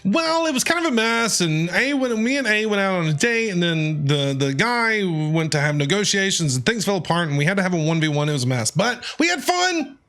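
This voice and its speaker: A woman's voice: mid-range voice, big pitch swings, fairly quick, few pauses, fairly bright tone. A woman streaming a game with casual, playful, chatty reaction commentary, often repeating phrases. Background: game music.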